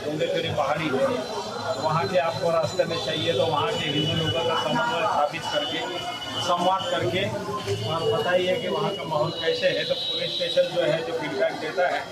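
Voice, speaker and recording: A man's voice: on the high side, flat delivery, normal speed, over loud music. A man giving a spoken account to a news reporter, explaining at length and repeating himself. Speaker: monk and protest movement representative. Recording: noisy, echoey, distant field recording.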